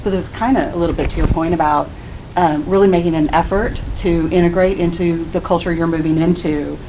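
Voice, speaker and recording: A woman moderating an author talk at a library, asking measured, prepared questions. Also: lowish voice, fairly even intonation, noisy background. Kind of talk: interview questions. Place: public library room.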